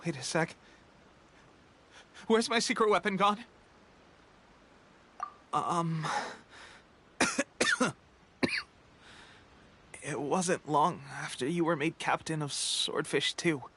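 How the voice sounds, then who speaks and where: An actor playing a weakened, earnest young soldier in a video game, halting and weary, with breaks for breath. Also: male voice, fairly high pitch, pushed and bright, slightly rough, full character voice. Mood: halting, wistful. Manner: halting, hesitant, trailing pauses. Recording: game music under the voice.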